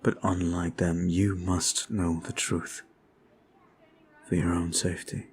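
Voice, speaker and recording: Deep slow guilt-ridden vampire guy, a man voicing him, soft confiding ASMR talk, faint music behind. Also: little pitch movement, slight background noise. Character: brooding male vampire, weary and guilt-ridden. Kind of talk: ASMR roleplay audio.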